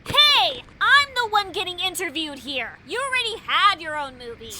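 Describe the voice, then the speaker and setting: A woman's voice: very high voice, normal speed, slight background noise, full character voice. A woman playing a brash, childish devil girl who is loyal and boastful, in an anime fan-dub style. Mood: excited, indignant. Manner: excitable, loud, blunt.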